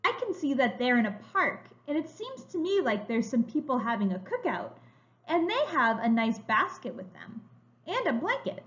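A woman with a high, bright voice reads aloud to small children like a preschool teacher. Her delivery swings widely in pitch and is very expressive, with emphatic words. The recording is a little dull, like a home webcam. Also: moderate pace. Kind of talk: children's story read-aloud. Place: room at home, indoors. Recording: slightly dull, lo-fi webcam sound.

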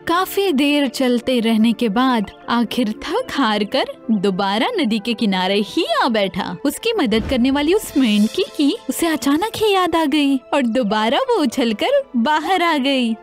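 A woman narrating a scripted fairy tale for children in an expressive, dramatized way. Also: high voice, soft background music. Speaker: storyteller.

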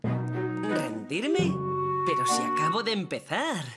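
A woman's voice: lowish voice, wildly swooping pitch, takes it slowly, over loud music, exaggerated voice. A woman voicing a mischievous, cocky, scheming little boy in a children's cartoon dub. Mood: teasing.

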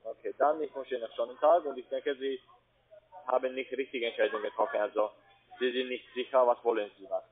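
A man's voice: mid-range voice, fairly even intonation, quick pace. A man with a foreign accent and non-native phrasing, working as a call centre agent and arguing with a customer. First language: not German. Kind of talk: phone sales conversation. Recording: band-limited phone call audio.

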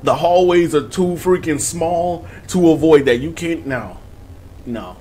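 A man in his twenties giving casual, slangy horror game commentary to his viewers in an animated, excitable way. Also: fairly high pitch, measured pace. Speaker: gaming video host. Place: small room at home, indoors.

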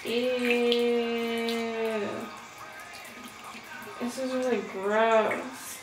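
A woman's voice: on the high side, little pitch movement, extremely slow, low-quality recording. A young adult woman rambling casually through a makeup tutorial, muttering offhand as she works in a small bathroom. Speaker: vlogger demonstrating makeup effects. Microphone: camera a short distance away. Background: music playing.

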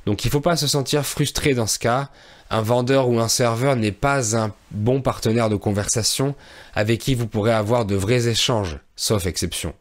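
A man in his thirties from France, a teacher and podcast host, telling a travel story for language learners in a clear, measured way with soft fillers, recorded close on a studio mic in a small room. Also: medium pitch. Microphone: studio microphone on a boom arm, close.

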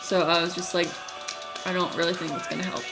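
A young woman in college who stutters, talking casually to her webcam in a small room, with halting speech, many fillers and restarts. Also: fairly low pitch, level tone, over music, poor audio. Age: young adult. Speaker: vlogger. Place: small room at night, indoors. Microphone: webcam at close range.

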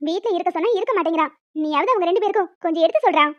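A woman's voice: extremely high pitch, very fast, dull audio. A woman voicing a nagging, disapproving older mother-in-law who complains and scolds in a cartoon family drama. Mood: grumbling.

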